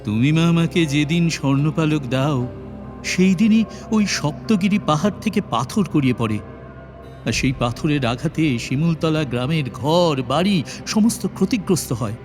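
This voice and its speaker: A man's voice: fairly high pitch, animated, normal speed, a little mellow, soft background music, natural voice. A man playing an anxious, indulgent king and loving father in a fairy-tale audio drama, theatrical and hesitant. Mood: troubled.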